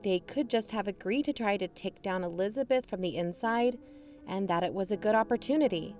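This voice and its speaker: A woman's voice: medium pitch, fairly quick, a little mellow. Pleading, conciliatory woman. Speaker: co-investigator in an audio drama. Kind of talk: scripted audio drama dialogue. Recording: music bed underneath.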